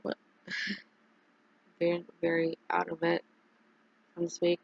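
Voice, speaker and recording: A streamer chatting casually on a livestream, rambling and halting with many fillers and restarts. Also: mid-range voice, monotone, vocal fry, dry recording, highs cut off.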